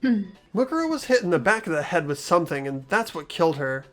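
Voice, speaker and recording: A man's voice: sits high, sing-song, a little fast, a bit bright, faint music behind. A man voicing an earnest teenage boy who patiently reasons out a murder case, in a fan-voiced game. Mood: resolute. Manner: earnest, explanatory. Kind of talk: fan-voiced visual novel game acting.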